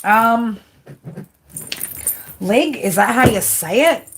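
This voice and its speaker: A woman's voice: on the high side, lively intonation, very slow, noisy background. Aussie woman rambling through a tarot livestream. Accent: Australian. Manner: rambling, swears casually, many fillers. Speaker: tarot reader. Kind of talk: live-stream tarot reading.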